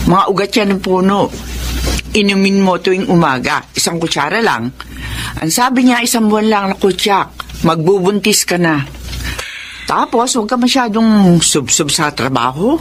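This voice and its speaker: A woman's voice: mid-range voice, animated, moderate pace, a little hiss or hum, lightly acted. A woman playing a meddling older mother-in-law in a radio drama, insistent and chiding, with a Filipino accent.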